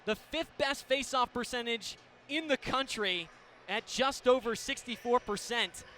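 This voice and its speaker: An American man doing live radio play-by-play of a game in clipped descriptive phrases, ready to burst into excited calls. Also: very high-pitched, measured pace. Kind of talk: live sports play-by-play commentary. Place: indoor stadium broadcast position. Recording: live radio with steady venue noise.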